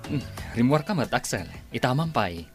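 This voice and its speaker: A man's voice: medium pitch, very animated, brisk, soft background music, lightly acted. A man playing a loyal, deferential farmhand who speaks earnestly to his boss, in a radio drama. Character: loyal farmhand, uncle figure to the boy.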